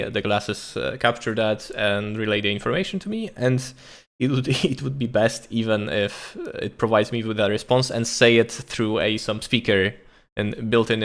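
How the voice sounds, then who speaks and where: Low gravelly man thinking aloud. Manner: thinking aloud, halting with many restarts. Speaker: streamer reacting to a tech talk. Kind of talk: casual live reaction commentary.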